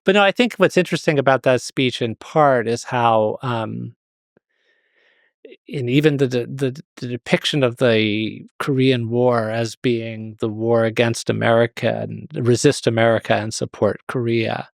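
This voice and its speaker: A man's voice: medium pitch, fairly even intonation, unhurried, vocal fry, no room sound, clean studio sound. A man speaking as an expert guest in an interview, explaining hesitantly and often rephrasing himself.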